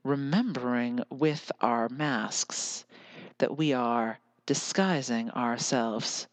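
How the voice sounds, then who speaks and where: An earnest American podcaster muses alone into the mic and slips into lyrical, repeating phrases. The voice sits at a middle pitch, and the recording is very dry, with no room sound. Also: slow, dry recording, poor audio. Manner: earnest, musing, drifts into lyrical repetition. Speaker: podcast host. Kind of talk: reflective solo podcast monologue.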